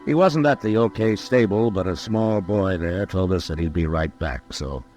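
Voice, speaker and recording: A man plays a weary lawman of the American frontier in a radio western. His voice sits very low. He speaks with an American accent, terse and dry, with steady authority. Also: moderate pace, faint music behind, natural voice. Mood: measured. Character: stern, weary, authoritative male frontier US marshal. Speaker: actor playing the lead lawman and narrator. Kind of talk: old-time radio western acting and narration.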